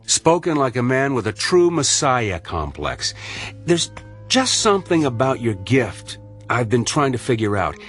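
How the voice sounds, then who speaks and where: A man's voice: mid-range voice, very animated, measured pace, fairly bright tone, faint music behind. An actor playing an earnest male medical colleague with a probing, moralising, sardonic manner in a radio drama. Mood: sceptical, sardonic.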